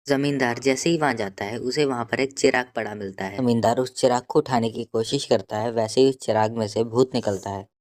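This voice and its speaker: A woman's voice: extremely low pitch, a little fast, hardly any pauses, darkish tone, no room sound, studio quality. A woman narrating a scripted cartoon story.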